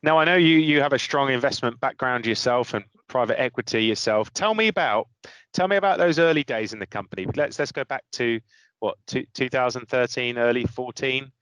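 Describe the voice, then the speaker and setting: A man's voice: medium pitch, a little fast, fairly bright tone, smooth, no room sound, rough recording. A man hosting an interview, asking questions and repeating words as he frames them. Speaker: podcast host, interviewer.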